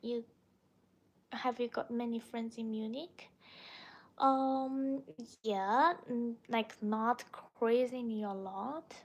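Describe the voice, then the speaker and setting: Casting: young female livestream host, native Mandarin speaker with Chinese accent, somewhat high pitch, slow informal delivery. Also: steady tone. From Chongqing, China. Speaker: livestream host, language teacher. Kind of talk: casual livestream chat, replying to viewers.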